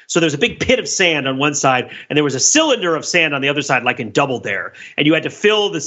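A man talking casually as a podcast host, explaining things in a rambling, animated way and often correcting himself mid-thought. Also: on the high side, lo-fi sound. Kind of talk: casual podcast discussion, recounting a TV show.

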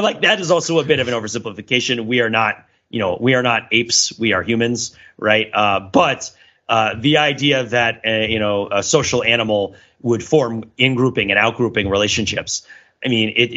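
A man's voice: mid-range voice, pushed and bright, dull audio. A middle-aged man co-hosting a podcast, talking through ideas in a casual, rambling, analytical way and often checking in with "right?". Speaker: podcast co-host.

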